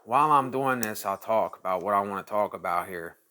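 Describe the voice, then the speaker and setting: A man's voice: lowish voice, a little fast, pushed and bright. A man in his late thirties hosting his own channel, talking casually and at length in a rambling monologue, repeating phrases as he thinks aloud. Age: 30s. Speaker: channel host.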